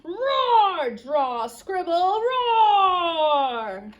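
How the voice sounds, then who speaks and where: A woman voicing a small, excitable young dinosaur from a children's storybook, boisterous and determined, in shouted roars and short exclamations. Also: very high voice, very slow, dull audio, pitched above the performer's own voice, full character voice.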